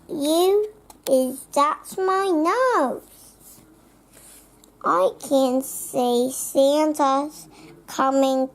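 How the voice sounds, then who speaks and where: A child reading a children's picture book aloud, halting word by word and sometimes repeating phrases. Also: sits high, creaky. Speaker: young storybook reader.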